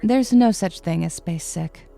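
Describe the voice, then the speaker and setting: A woman's voice: lowish voice, a little slow, creaky, mellow, soft background music. A woman playing a stern, weary science professor, sarcastic and pedantic, in a comedy audio drama. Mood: pedantic, annoyed.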